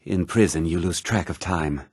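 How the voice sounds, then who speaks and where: Brooding, husky prisoner. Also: male voice, low-pitched, moderate pace, darkish tone, no echo, studio quality. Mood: weary, brooding. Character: weary, brooding imprisoned murderer in a mine. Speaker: video game voice actor. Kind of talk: fantasy role-playing game dialogue.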